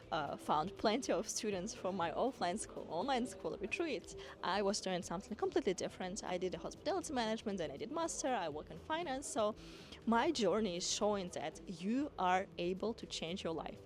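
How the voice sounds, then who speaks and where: A woman from Kazakhstan, a dance school owner and women's coach, giving an earnest, encouraging motivational talk to camera, with small non-native grammar slips. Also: fairly low pitch. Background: background music.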